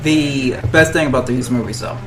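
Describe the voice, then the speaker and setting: A man's voice: medium pitch, moderate pace. A man hosting a casual chat, summing up the others' views and steering the talk. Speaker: podcast host.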